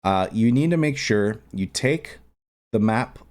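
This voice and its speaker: A man's voice: on the low side, lively intonation, unhurried, darkish tone. A man hosting a casual step-by-step tutorial, thinking aloud informally and repeating instructions as he works. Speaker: tutorial host. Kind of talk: casual step-by-step screen tutorial, talking while working. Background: background music.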